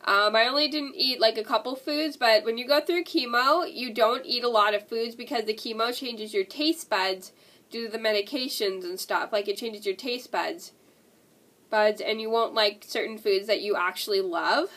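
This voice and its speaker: Stuffy-nosed young woman, bright voice. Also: on the high side, normal speed. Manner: rambling, repeats herself, many "like" and "and stuff" fillers. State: stuffy nose from a cold. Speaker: vlogger. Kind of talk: casual talk to camera.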